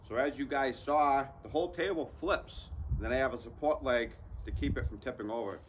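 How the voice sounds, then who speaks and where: A man's voice: mid-range voice, brisk, slight background noise. A man in his thirties, a channel host, talking viewers through a practical build outdoors in a casual, direct way. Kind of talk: casual how-to vlog talk. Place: outdoors under an open sawmill shed in woods.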